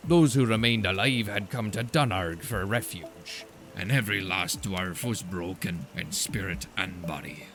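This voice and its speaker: Proud dwarf mining chief acted by a man, very low and gruff, rustic turns of phrase, music behind. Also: measured pace. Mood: grave. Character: proud, gruff old-fashioned male dwarf chief miner. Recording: loud music under the voice.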